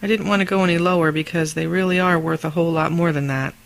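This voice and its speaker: A woman acting a warm, teasing, supportive wife in an amateur radio drama, chatty and affectionate. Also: fairly low pitch, fairly even intonation, fast talker, creaky, dry recording. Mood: practical.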